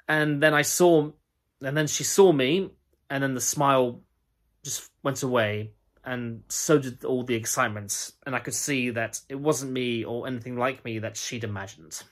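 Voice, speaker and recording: A man's voice: mid-range voice, fairly even intonation, lightly acted. A young man playing a bitter, lonely outcast. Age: young adult. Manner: confessional, halting, self-loathing. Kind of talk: audition monologue.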